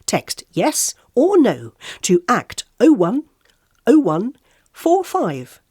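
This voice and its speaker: A woman's voice: a little high, huge pitch swings, slow pace, a little mellow, slightly rough, dry recording, clean studio sound, exaggerated voice. A woman playing a gossipy tabloid website reporter, reading a scripted column in an arch, theatrical, teasing way. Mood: mock-shocked, teasing.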